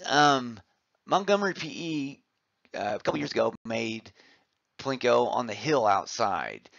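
A man presents as a gym teacher, chatting informally and with enthusiasm over slides. His voice is middling in pitch with a good deal of creak. The recording is dry and slightly dull. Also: a bit bright, no room sound. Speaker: physical education teacher, presenter. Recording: slightly muffled, dull audio.

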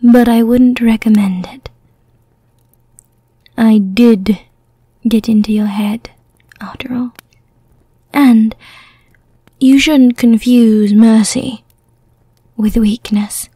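A breathy, seductive female vampire, acted by a woman for an ASMR roleplay. She speaks slowly and softly, in a dark, whispery tone. She is teasing and menacing, with a merciful streak, and sounds very close and dry. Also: on the high side, fairly even intonation, no echo, pitched below the performer's own voice. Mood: softly warning. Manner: slow, teasing, deliberate.

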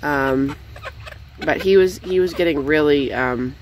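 A young woman chatting casually while she feeds her backyard chickens, rambling and cooing at them in a high voice, recorded on a handheld phone outdoors. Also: unhurried, a little hiss or hum. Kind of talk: casual off-the-cuff vlog chat.

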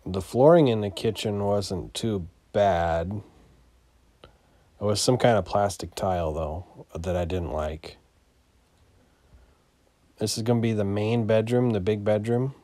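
A man giving a casual, unscripted property walkthrough as a landlord, in a matter-of-fact, halting way that trails off. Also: lowish voice, warm tone, dry recording. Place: inside an empty mobile home, starting on the porch.

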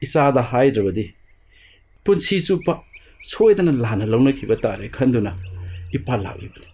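A man's voice: medium pitch, sing-song, rapid-fire, mellow, breathy edge. An actor playing a loving, dying father who speaks tenderly to comfort his daughter in an audio drama. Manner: tender, consoling. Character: dying middle-aged father, gentle and loving.

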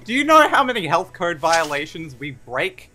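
Guy doing loud crude crime boss for a joke game dub, high forceful voice, rambly and sweary. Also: sing-song, normal speed. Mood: smug, menacing. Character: brash, crude, bullying male meat-selling crime boss. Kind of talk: improvised fan dub comedy.

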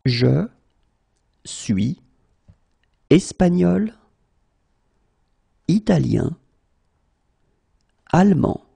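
Slow, gravelly French teacher man. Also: on the high side, very animated, dark tone. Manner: repeats each phrase whole, then word by word. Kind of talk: slow phrase-by-phrase language drill.

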